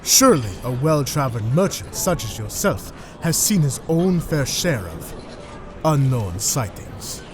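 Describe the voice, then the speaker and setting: An actor playing a polite, chatty panda-man traveler in a measured way for a fantasy audio drama. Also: medium pitch, big pitch swings, slight background noise. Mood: courteous. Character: courteous male Pandaren traveler, chatty and curious.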